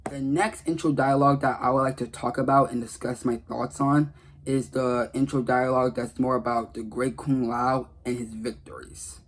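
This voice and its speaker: Husky man giving casual game commentary. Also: medium pitch, flat delivery, moderate pace, poor audio. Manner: earnest, repetitive, rambling. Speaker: gaming video creator.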